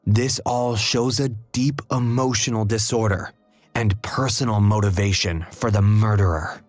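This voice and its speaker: An actor playing a thoughtful, analytical detective with a deliberate, musing manner in a mystery game. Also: male voice, lowish voice, steady tone, dry recording, faint music behind. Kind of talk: video game voice acting.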